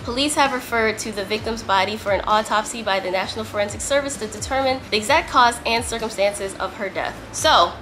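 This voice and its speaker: A woman's voice: fairly high pitch, fairly quick, hardly any pauses, a bit bright, faint music behind, rough recording. A woman vlogger chatting casually and emphatically to camera, with a slightly strained, congested voice from a lingering cough. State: congested, getting over a cough. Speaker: vlogger, host. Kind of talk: casual sit-down chat to camera, some reading aloud.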